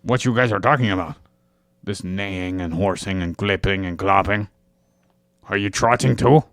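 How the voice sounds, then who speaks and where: A man's voice: low-pitched, normal speed, fairly bright tone, no room sound. A man co-hosting a podcast, explaining ideas at length in a rambling way with dry jokes in casual group chat. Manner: rambling, explanatory, dryly joking. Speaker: podcast co-host.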